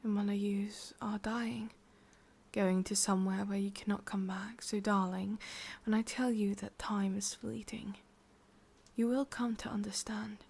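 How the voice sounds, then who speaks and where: A woman with an English accent voicing an ancient, possessive, wistful witch in a slow, intimate, breathy ASMR whisper. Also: mid-range voice, flat delivery, a little mellow. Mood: melancholy, musing. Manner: slow, intimate, musing, with lingering pauses. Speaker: ASMR roleplay performer. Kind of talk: whispered ASMR roleplay monologue.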